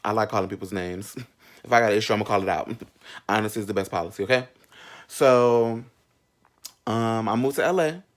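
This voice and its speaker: Sassy man in his thirties, slightly low voice. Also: a little fast, long pauses. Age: 30s. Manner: sassy, slangy, candid, punctuated with asides. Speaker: vlogger telling a personal story. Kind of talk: casual, animated storytime chat.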